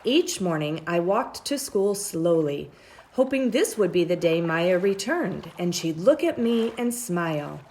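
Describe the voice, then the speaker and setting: A woman reads a children's story aloud at a slow, measured pace. Her pitch moves up and down with lots of expression as she draws out phrases. There is faint noise on the recording. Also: big pitch swings, a little mellow. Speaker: storybook reader.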